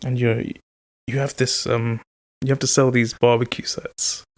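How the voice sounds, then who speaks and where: A man co-hosting a podcast in casual chat, teasing and animated when he tells a story. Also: mid-range voice, unhurried. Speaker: podcast co-host.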